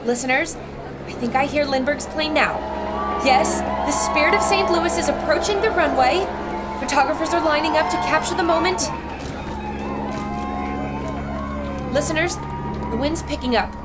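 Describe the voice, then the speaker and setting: Woman playing a high-voiced, plucky radio newswoman. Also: moderate pace, over loud music, a loud crowd, natural voice. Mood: alarmed, urgent. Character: intrepid, determined young woman 1920s radio reporter. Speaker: actor in audio drama. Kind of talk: old-time radio drama acting. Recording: noisy ambience behind the voice.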